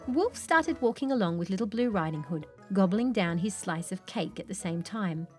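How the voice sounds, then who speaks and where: A woman narrates a children's story as a read-along. Her delivery is expressive, at a middling pitch, and soft music plays underneath. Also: normal speed.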